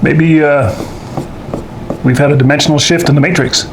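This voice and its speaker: A man's voice: on the high side, fairly even intonation, normal speed, noisy background. A man hosting a casual cooking demonstration at home, talking in a folksy way with joking asides. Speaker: show host. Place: indoors at home kitchen.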